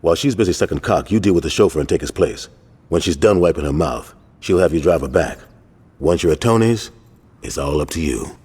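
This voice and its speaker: A grave, authoritative gang leader in a video game. The voice is extremely deep and heavily husky, laying out orders bluntly. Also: male voice, expressive, a little fast, a little mellow. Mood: grim, instructing. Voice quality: very husky, rough. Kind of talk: video game cutscene acting.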